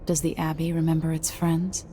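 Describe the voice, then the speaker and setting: An actress playing a guarded, world-weary masked infiltrator in a video game, speaking in a cool, measured, dryly skeptical way. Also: female voice, deep voice, completely flat delivery, mellow, slight background noise, close to an everyday voice. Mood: cool, purposeful. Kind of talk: dramatic video game dialogue.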